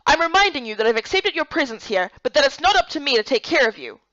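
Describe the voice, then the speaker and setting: Stern fantasy-game leader played by a woman, high voice, haughty and dismissive, dry lo-fi sound. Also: big pitch swings, fast talker, fairly bright tone, no echo. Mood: impatient, dismissive. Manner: curt, dismissive.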